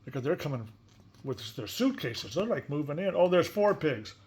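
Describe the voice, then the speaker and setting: A man's voice: fairly high pitch, sing-song, normal speed. A man reading a picture book aloud expressively, with casual, chatty asides and questions to a young listener. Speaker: story reader.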